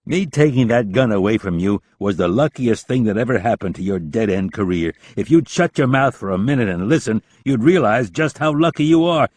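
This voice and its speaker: A man's voice: medium pitch, a little mellow, no echo, pitched above the performer's own voice, lightly acted. A man doing a brash, scornful male operative. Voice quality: blunt, scornful, cursing.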